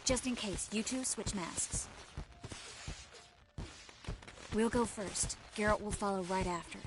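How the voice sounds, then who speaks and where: A woman's voice: medium pitch, normal speed, long reverb tail, background noise, lightly acted. A woman playing an earnest, secretive mage in a fantasy video game, speaking sincerely. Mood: wary, brisk. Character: earnest, secretive female mage leading an escape.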